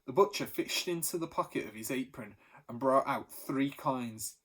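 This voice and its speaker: A teacher reading a children's novel aloud with expressive storytelling. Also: male voice, medium pitch, moderate pace, slightly rough.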